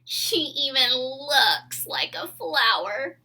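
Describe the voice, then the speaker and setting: A woman's voice: high-pitched, very animated, extremely slow, sharp tone, full character voice. A woman voicing a snooty, mean storybook schoolgirl who speaks in an emphatic, taunting way.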